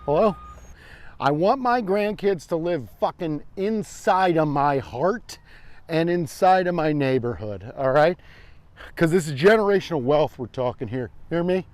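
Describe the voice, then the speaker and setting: A man's voice: fairly high pitch, animated, unhurried, darkish tone, no echo, pitched above the performer's own voice. A man voicing a possessive, crotchety old grandparent who rants belligerently and swears, in a comedic vlog bit. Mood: indignant ranting.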